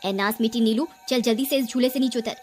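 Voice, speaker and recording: Bossy elder village woman played by a female narrator, high voice, admonishing, sharp-tongued, cartoon ghost story, music behind. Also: fairly quick, lightly acted. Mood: angry scolding. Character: scolding, bossy elder sister-in-law in a village. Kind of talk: animated horror story dialogue.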